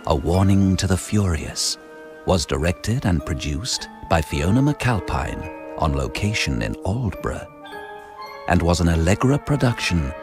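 An actor narrating a radio drama in a measured, deliberate, scripted manner. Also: male voice, low voice, very animated, music in the background, full character voice. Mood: plain announcing. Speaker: narrator and closing announcer.

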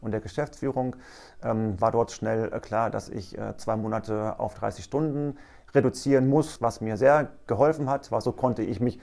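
Calm, hesitant man in his forties. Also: fairly low pitch, moderate pace, gravelly. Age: 40s. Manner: calm, hesitant with many fillers. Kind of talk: corporate video interview. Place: bright office with plants.

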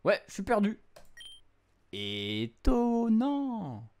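A man's voice: high voice, wildly swooping pitch, extremely slow, darkish tone. A young adult man giving casual gameplay commentary, translating on the fly in informal, slangy speech peppered with English words. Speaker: streamer playing a visual novel. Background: game music.

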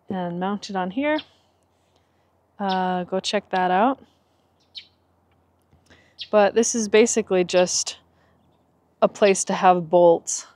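A woman in her forties talks casually through a vehicle project, chatty and quick to laugh. Her voice sits in a comfortable middle range. The sound is dry and close, recorded in and around an SUV. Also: medium pitch, darkish tone, dry recording. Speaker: vlogger. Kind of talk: casual DIY narration. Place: inside an SUV and a carport.

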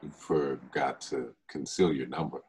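A man reading the part of a smooth, mysterious, smirking online flirt in a film table read, deep-voiced, terse and probing. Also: steady tone, measured pace, darkish tone, heavily acted. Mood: smooth, flirtatious.

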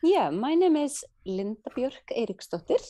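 A woman's voice: sits high, sing-song, moderate pace, warm tone. A woman, a guest chatting casually on a video call through a laptop mic, laughing easily and restarting her sentences. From Iceland. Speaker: guest test knitter. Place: home room indoors. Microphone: laptop webcam mic.

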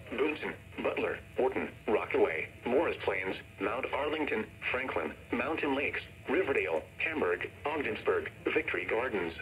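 A synthetic male voice reading a scripted severe weather warning bulletin as an automated announcer, formal and measured, played through a radio speaker. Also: mid-range voice, fairly bright tone. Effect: synthetic text-to-speech voice. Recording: noisy weather radio speaker recorded in room.

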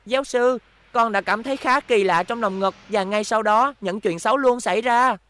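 A woman's voice: a little high, normal speed, fairly bright tone, natural voice. A woman voicing a brave, kind young robot boy hero in a cartoon dub, earnest and determined. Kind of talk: cartoon dubbing.

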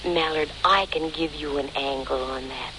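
A woman's voice: low voice, normal speed, sharp tone. An actress playing a wisecracking female private eye with a dry, snappy, flirtatious manner in 1940s radio style. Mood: dry, teasing. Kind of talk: 1940s radio detective acting. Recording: noisy vintage 1940s radio recording.

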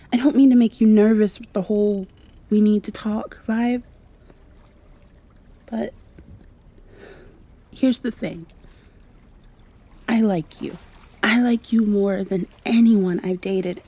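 Shy, soft-voiced vampire girl, bright and breathy played by a woman. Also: mid-range voice. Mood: hesitant, vulnerable. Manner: soft, hesitant, confiding. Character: shy, earnest young vampire girl, insecure and romantic. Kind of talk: ASMR roleplay.

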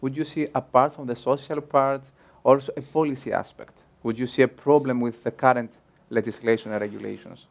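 A man's voice: mid-range voice, steady tone, a little fast, creaky. A young man moderating a panel, asking careful, probing questions. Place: bright room by large windows over a canal.

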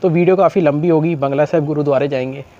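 A man's voice: fairly high pitch, steady tone, rapid-fire, dark tone, dull audio. A young man talks casually to camera as a vlogger, with a slightly sore, coughy throat. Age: young adult. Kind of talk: casual vlog talk to camera. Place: open rooftop outdoors in a city. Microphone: clip-on lapel mic. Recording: noisy outdoor urban ambience.

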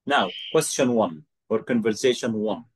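A man teaching an English lesson over a video call, slow and patient, encouraging his student and repeating phrases. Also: fairly low pitch, slightly rough, no room sound. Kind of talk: online one-to-one English lesson.

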